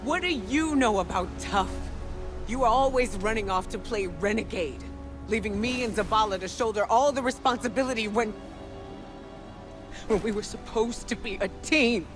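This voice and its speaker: A woman playing a grave, burdened commander in a video game, speaking formally and seriously with measured intensity. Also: fairly high pitch, music in the background, lightly acted. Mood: anguished, reproachful. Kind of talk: video game cutscene acting.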